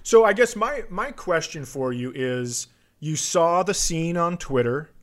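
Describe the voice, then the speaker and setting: Teasing, deadpan man in casual comedy chat. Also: on the high side, unhurried. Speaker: podcast host, comedian.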